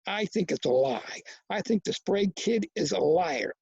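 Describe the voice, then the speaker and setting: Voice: medium pitch, animated, moderate pace, gravelly, darkish tone, breathy edge, no room sound, dull audio, heavily acted. A reader voicing a cocky, combative young boy from a novel, with brash, slangy, goading talk.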